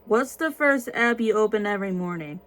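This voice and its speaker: Woman in her twenties, tired, halting, full of ums and restarts, casual vlog Q&A. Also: medium pitch, expressive, fairly bright tone. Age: 20s. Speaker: YouTuber answering viewer questions.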